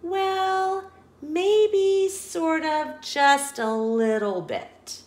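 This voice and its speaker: A woman voicing a nervous, hungry little girl T-Rex in a storybook, speaking in a high, childlike way. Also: very slow, heavily acted. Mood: sheepish. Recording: roomy echo.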